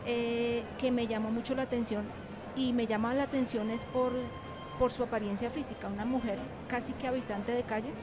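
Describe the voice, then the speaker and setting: A middle-aged woman speaks in a fairly high voice. She answers as an interview guest, informal and meandering, like a lecturer telling her experiences. Clear ambient noise of a busy venue sits behind her. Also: fairly even intonation, fairly quick. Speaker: university animation lecturer and author, interview guest. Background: ambient noise of a book fair.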